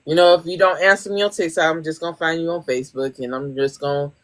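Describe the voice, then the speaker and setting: A woman's voice: deep voice, steady tone, fast, fairly bright tone. Playful woman podcast host. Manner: blunt, playful reactions. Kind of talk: casual podcast chat.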